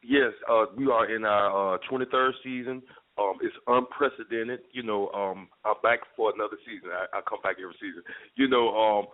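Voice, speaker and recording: A man who hosts a radio show, talking conversationally and haltingly as an interview guest. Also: fairly low pitch, fairly even intonation. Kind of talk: conversational promotional interview talk. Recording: thin phone-like line.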